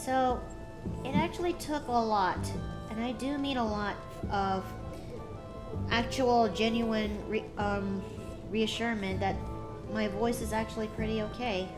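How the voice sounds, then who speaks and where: A woman's voice: on the high side, steady tone, unhurried, low-quality recording. A young woman streamer chatting casually, rambling with many restarts and fillers. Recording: music playing behind.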